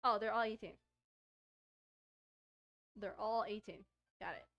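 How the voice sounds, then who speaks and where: A woman's voice: mid-range voice, unhurried, lo-fi sound. A woman gaming streamer doing casual let's-play commentary, reading a visual novel aloud and often stumbling and repeating words as she reads.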